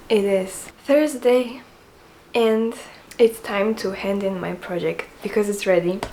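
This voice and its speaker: Soft-voiced young woman, earnest and hesitant, relaxed chatty vlog delivery at a middling pitch. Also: a little hiss or hum, low-quality recording. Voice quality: soft quiet voice. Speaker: vlogger.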